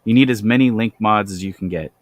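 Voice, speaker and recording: A man's voice: fairly low pitch, fast. A man guesting on a comics livestream, easygoing and chatting casually. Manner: easygoing, short agreeing replies. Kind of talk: casual chat.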